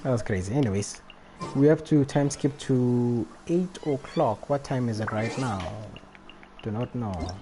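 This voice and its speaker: Slangy male game streamer, mid-pitched. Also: measured pace, over music. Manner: slangy, reacting aloud. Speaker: live streamer. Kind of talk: casual game-stream commentary. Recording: faint background noise.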